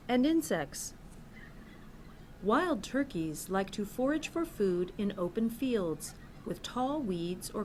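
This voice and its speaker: Female narrator for a kids' nature video, unhurried delivery with lively intonation. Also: mid-range voice, slight background noise. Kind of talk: scripted nature documentary narration for children.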